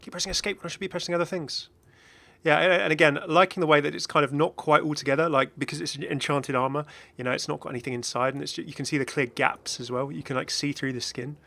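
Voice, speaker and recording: Bright, chatty man commentating on games. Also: fairly high pitch, fairly even intonation, fast talker, creaky. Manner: chatty, rambling, enthusiastic asides. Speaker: gaming video host. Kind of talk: casual off-the-cuff game commentary.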